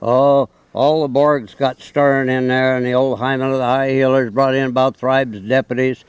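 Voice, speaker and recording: A man's voice: medium pitch, flat delivery, normal speed, clear, resonant tone, dry recording, lo-fi sound. An older man with a rural Northern Californian accent, an old-timer sharing folksy banter and anecdotes. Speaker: old-timer speaker of a local folk lingo.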